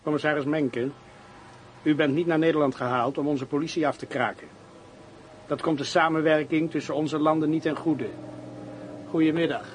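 A man's voice: a little high, fairly even intonation, normal speed, pauses often, lightly acted, rough recording. A man acting a cautious police inspector in a radio play, formal and curt. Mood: stern. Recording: faint noise, radio play recording.

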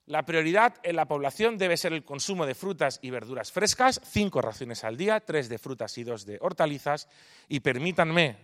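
A man's voice: a little high, expressive, moderate pace, fairly bright tone. Emphatic man giving a public health talk. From Spain (Palma de Mallorca). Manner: emphatic, poses rhetorical questions to the audience. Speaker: nutrition speaker at a public event. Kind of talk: public lecture, then answering audience questions.

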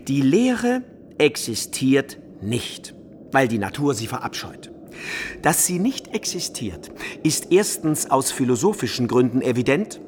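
An actor playing an eccentric, obsessive medieval wandering scholar in a German radio play, pedantic, theatrical and emphatic. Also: male voice, mid-range voice, slight background noise. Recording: studio radio drama over music.

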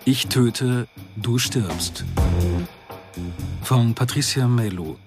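A man announcing radio drama titles in a scripted, deliberate way. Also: medium pitch, music in the background. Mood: measured.

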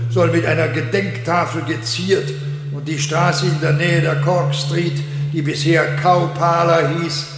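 An actor playing a solemn, pompous dignitary making ceremonial proclamations in 1960s German radio play style. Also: male voice, fairly high pitch. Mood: official, pompous. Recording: echoey hall with long reverb.

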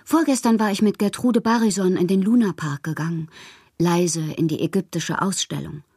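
An actress playing a passionate, capricious bohemian woman in a literary radio drama, theatrical and intimate, with a breathy voice. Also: on the low side, fairly even intonation, normal speed, dark tone, no echo, studio quality. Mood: playful, confiding. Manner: theatrical, intimate, often dropping to breathy murmurs. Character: dreamy, passionate, capricious bohemian woman writing love-struck letters.

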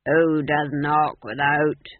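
Growling surly gatekeeper, slow and gruff, phone-thin sound, man acting it. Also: fairly high pitch, level tone, no echo, phone-quality sound.

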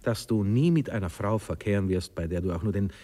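An actor playing a prudish, moralizing young husband in a radio play, speaking in halting, self-important phrases. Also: male voice, fairly low pitch, dark tone, in a large hall, natural voice. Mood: moralizing, agitated. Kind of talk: classic German radio play acting.

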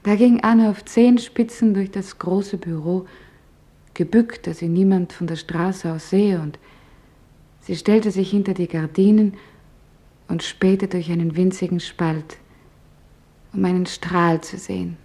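An actress playing a woman office worker who recalls wartime events in intimate, measured radio play narration. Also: on the low side, fairly even intonation, dark tone. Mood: hushed, suspenseful. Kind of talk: dramatized first-person narration in a radio play.

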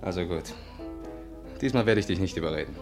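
Suave sleuth, deep voice, quick delivery, coaxing and insistent, 1950s radio play. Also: male voice, lively intonation. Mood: exasperated, pleading. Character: smooth, persuasive male amateur sleuth coaxing his sweetheart. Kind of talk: 1950s German radio drama acting. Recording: 1950s radio recording, music behind.

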